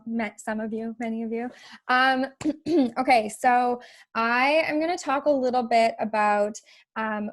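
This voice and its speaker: Hesitant young woman with gravelly voice. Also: fairly high pitch, dry recording. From Alberta, Canada. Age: young adult. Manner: hesitant, many fillers and restarts, laughs often. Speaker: youth network presenter. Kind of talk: presentation on a video call. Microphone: video call.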